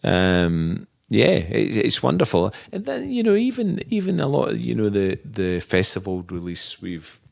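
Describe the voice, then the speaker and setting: Brief: husky distillery manager, middle-aged man, very low gravelly voice, halting and thoughtful. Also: huge pitch swings. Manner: thoughtful, halting, many restarts. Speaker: distillery manager, podcast guest. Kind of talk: relaxed interview talk about whisky making.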